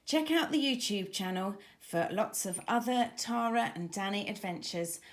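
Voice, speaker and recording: A woman narrating a scripted children's story in a dramatic, expressive manner. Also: medium pitch, moderate pace. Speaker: author narrator.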